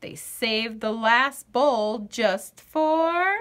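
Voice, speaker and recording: A woman reading a children's picture book aloud in an expressive, sing-song storyteller's delivery. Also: fairly high pitch, extremely slow.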